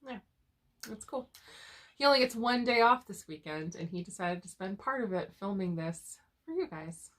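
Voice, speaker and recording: A woman's voice: medium pitch, animated, normal speed. Chatty woman with a lingering cough. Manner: chatty, laughs often, many restarts. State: lingering cough, cough drop in mouth. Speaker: co-host of couple chat channel. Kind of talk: casual chat.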